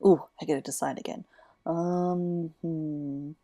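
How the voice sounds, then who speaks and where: A woman's voice: deep voice, fairly even intonation, takes it slowly, dark tone. A woman casually commenting while playing a video game, chatty and thinking aloud. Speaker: gamer. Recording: commentary over game audio with music.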